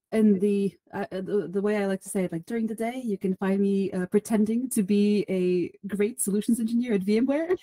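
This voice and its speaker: A woman's voice: medium pitch, dark tone, dry recording. A woman from Bulgaria, a guest on a podcast, talking in a chatty, self-deprecating way with many restarts and fillers. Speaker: podcast guest, solutions engineer. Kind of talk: conversational interview.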